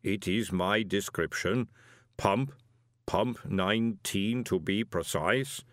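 A man voicing an ancient, huge golem servant in an audiobook, with a rumbling voice and a placid, formal, deliberate manner. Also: no room sound, studio quality. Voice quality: deep rumbling.

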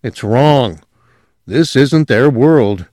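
A man's voice: mid-range voice, expressive, drawn-out words, a little mellow, no echo, clean studio sound, voice pushed up. A man voicing a tense, alarmed young man who speaks in urgent, clipped lines.

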